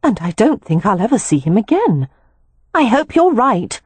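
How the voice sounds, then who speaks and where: A woman's voice: a little high, sing-song, moderate pace, breathy edge, dry recording, voice pushed up. A woman voicing an amused, teasing young sister. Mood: flustered. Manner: amused, light.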